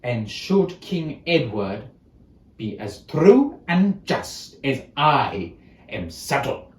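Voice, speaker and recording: Bitter, deformed royal schemer for a Shakespeare soliloquy, played by a man: declamatory, very unhurried, theatrical, in an echoing room. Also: medium pitch. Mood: sinister scheming. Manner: declamatory, slow with dramatic pauses and swings. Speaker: amateur Shakespeare performer. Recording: roomy, echoing space.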